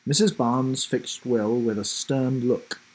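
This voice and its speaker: A man reading a children's story aloud in a dramatic, deliberate narrating style. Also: medium pitch, fairly even intonation, darkish tone, poor audio. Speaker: storybook reader, host.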